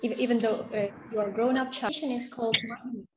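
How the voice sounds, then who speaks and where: A woman from Kazakhstan giving an online webinar presentation, slow and hesitant with many fillers, heard over a video call. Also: fairly high pitch, steady tone, roomy sound, very noisy.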